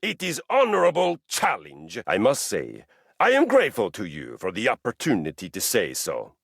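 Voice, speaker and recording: A living treadmill from a video game, a boastful warrior obsessed with honor and violence. His gravelly, husky voice swings wildly up and down in pitch. The delivery is pompous and grandly theatrical. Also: huge pitch swings, normal speed, fairly bright tone, no room sound, clean studio sound, exaggerated voice. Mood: solemn, proud. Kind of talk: theatrical game character acting.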